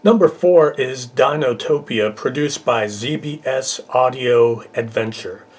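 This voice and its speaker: A man's voice: mid-range voice, a little slow, a little hiss or hum. A middle-aged man hosting a casual top-ten review video, talking informally. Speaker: vlog host, reviewer.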